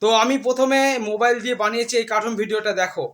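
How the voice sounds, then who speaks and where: A man's voice: extremely high pitch, steady tone, very fast, a bit bright, slight background noise. A man hosting a casual step-by-step tutorial, instructing his viewers like friends. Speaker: tutorial host.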